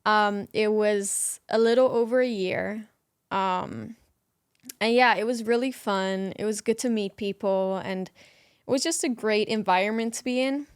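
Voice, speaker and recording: A young woman from the Netherlands chatting as a guest in an interview, relaxed and talkative with frequent ums. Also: mid-range voice, measured pace, clean tone, dry recording, clean studio sound. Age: young adult. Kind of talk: casual interview chat.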